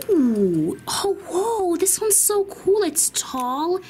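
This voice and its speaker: Lady doing a bossy excited little girl doll, high voice, swoops around, chatty kids toy play. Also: drawn-out words, noisy background, exaggerated voice. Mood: excited, curious. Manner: exclaiming, gasping, chatty. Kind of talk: improvised doll play for children.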